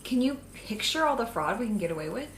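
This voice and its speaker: A woman's voice: a little high, big pitch swings, fast. A young woman playing a pragmatic villain's best friend in an audio drama, matter-of-fact and insistent. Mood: persuasive, insistent. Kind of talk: naturalistic audio drama acting. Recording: echoey room with faint background noise.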